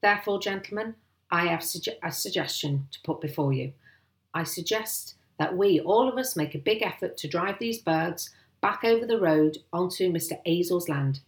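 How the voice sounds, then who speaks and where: A woman's voice: fairly low pitch, moderate pace, reverberant, lightly acted. A pompous country police sergeant from a storybook, acted out by a woman. He speaks ponderously and officially, putting stray aitches on his words and mangling his grammar. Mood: stern, measured. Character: pompous, stern male village police sergeant.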